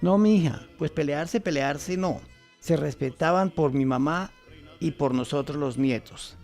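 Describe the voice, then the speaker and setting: A man's voice: a little high, a little slow, darkish tone, soft background music. An older man with a Colombian accent playing a grandfather who recalls his childhood in a radio drama. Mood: earnest, reminiscing. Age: over 60. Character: elderly grandfather recalling his childhood amid political violence.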